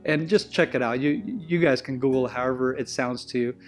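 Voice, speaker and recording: A man's voice: mid-range voice, a little fast, soft background music. A man from Israel with an Israeli accent, whose first language is Hebrew, appears as a guest and tells stories in a rambling way, often stopping to correct himself. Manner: rambling, self-correcting, with frequent restarts. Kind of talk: conversational interview storytelling.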